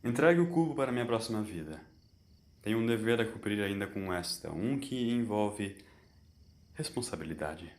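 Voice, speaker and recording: A man's voice: on the low side, expressive, moderate pace, reverberant. A man voicing a weary, bitter immortal alien in human form for an audio story, solemn and anguished. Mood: bitter, resigned.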